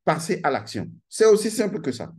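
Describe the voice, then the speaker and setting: A man holds forth in a lecturing monologue, his pitch rising and falling widely as he drives each point home. His tone is mellow and warm, and he talks in an emphatic, insistent way, repeating key phrases for effect. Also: big pitch swings, a little fast, breathy edge. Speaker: channel host giving a talk.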